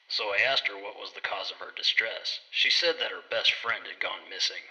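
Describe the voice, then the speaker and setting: A man playing an earnest, bumbling bear private detective, narrating his case in a deadpan, theatrical old noir style. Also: lowish voice, far from the mic, low-quality recording. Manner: deadpan, theatrical hard-boiled storytelling. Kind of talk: scripted first-person noir detective narration.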